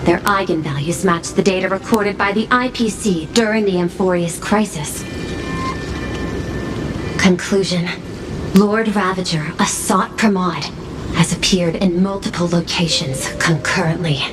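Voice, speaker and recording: A woman's voice: lowish voice, a little slow, natural voice, low-quality recording. A woman voicing a coolly logical android analyst in a video game, reporting in a clinical, formal way with clipped labels before statements. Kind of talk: scripted game dialogue. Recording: game audio under loud music.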